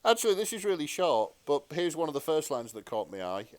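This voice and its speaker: A man's voice: on the high side, sing-song, a little fast, no echo. A man co-hosting a podcast in casual conversation, with a dry, understated and slightly hesitant way of talking. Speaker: podcast co-host. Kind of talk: casual chat with read-aloud fan fiction.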